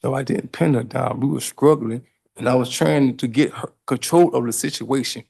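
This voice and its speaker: A man's voice: medium pitch, moderate pace, vocal fry, a little mellow, husky. A man testifying in a courtroom under cross-examination, answering emphatically and defensively in long run-on replies.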